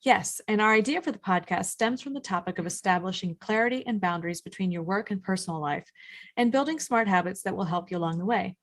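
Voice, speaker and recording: A woman co-hosting a podcast, friendly and engaged. She chats easily, encourages her partner as she speaks, and tells her own story. Her voice sits at an ordinary pitch. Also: fast talker. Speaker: podcast co-host. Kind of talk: live podcast chat, storytelling.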